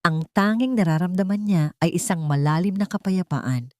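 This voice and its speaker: A woman narrates a scripted romantic drama as an audiobook. Her voice sits low for a woman. The sound is close and dry, with no room echo. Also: moderate pace, a little mellow.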